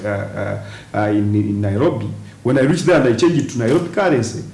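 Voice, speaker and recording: A man, a guest on a TV panel, explaining his points deliberately with many pauses and restarts. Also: on the low side, vocal fry, a little mellow. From western Uganda, near Congo and Rwanda borders. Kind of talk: studio panel discussion. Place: TV studio. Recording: roomy studio, faint hiss.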